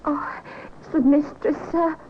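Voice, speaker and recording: Very high-voiced, timid housemaid. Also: female voice, fairly even intonation, unhurried. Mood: flustered, apologetic. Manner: flustered, pleading, deferential. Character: timid, deferential young housemaid, anxious and apologetic. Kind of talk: old time radio drama acting. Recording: muffled, noisy old radio recording.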